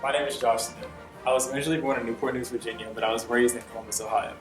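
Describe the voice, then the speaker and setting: A man's voice: mid-range voice, flat delivery, rapid-fire, roomy sound, recorded from a distance, music in the background. A young man in his late teens, a first-year student, telling his story matter-of-factly. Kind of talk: interview answer. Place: indoor room before a campus backdrop.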